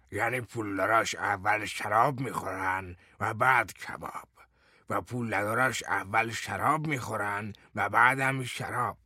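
A man's voice: on the low side, bright, forceful tone, breathy edge, no echo, clean studio sound, pitched below the performer's own voice, full character voice. A man voicing a sullen, ragged old father-figure who lectures like a stern schoolmaster in an audiobook story. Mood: mock-solemn. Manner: stern, deliberate mock-schoolmaster delivery.

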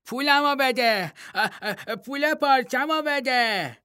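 A man voicing a naive, simple-minded young fool in a read-aloud folktale, talking in a childlike, pleading, excitable way. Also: sits high, dry recording, clean studio sound. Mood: shouting. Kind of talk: storybook reading.

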